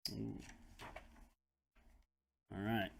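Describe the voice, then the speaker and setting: A man hosting a children's read-aloud, telling the story in a dramatic, expressive way with chatty asides. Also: fairly low pitch, very slow, vocal fry, slightly rough. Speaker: host and teacher reading aloud to children.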